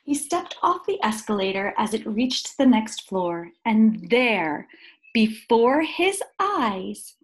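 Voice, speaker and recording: A woman reading a picture book aloud to young children, expressively, with deliberate dramatic pauses and emphasis. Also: fairly high pitch, sing-song, low-quality recording.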